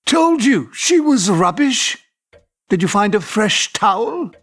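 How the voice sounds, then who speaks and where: A man's voice: high voice, sing-song, slow pace, a bit bright, full character voice. An actor in an audio drama playing a cultured, romantic male physics teacher, earnest, erudite and self-deprecating. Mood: plaintive, fond. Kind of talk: audio drama dialogue and narration.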